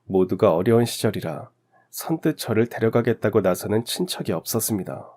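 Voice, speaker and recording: A man's voice: lowish voice, fairly quick, darkish tone. A man narrating a scripted first-person story in radio drama style.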